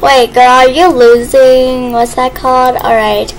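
A woman chatting casually and improvising role-play while gaming, excitable and bossy. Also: high voice, a little slow. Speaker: gaming video player and role-play partner. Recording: noisy game-play voice recording.

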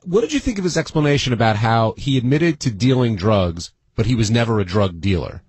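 A man's voice: medium pitch, expressive, fairly quick, darkish tone, husky, no echo. A man hosting a conversational radio discussion, setting up topics and then putting questions to the others. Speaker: radio recap show host.

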